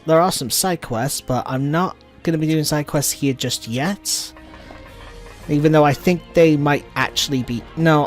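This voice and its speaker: A man with a fairly high voice gives informal let's play commentary. He thinks aloud as he goes, with faint game music playing behind him. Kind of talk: casual game walkthrough commentary. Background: video game music.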